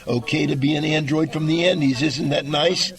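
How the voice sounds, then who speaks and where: A man's voice: on the high side, fairly even intonation, normal speed, gravelly, music in the background. A man playing an earnest, dim, easily confused guy who stammers and hesitates in scripted comedy dialogue. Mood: flustered.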